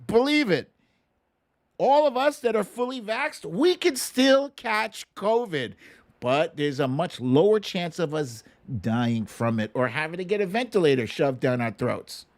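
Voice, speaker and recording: Higher-voiced American man hosting a livestream. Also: expressive, unhurried. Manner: conversational, opinionated, emphatic, swearing casually. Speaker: host of a livestream talk show. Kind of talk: casual live commentary, chatting with viewers.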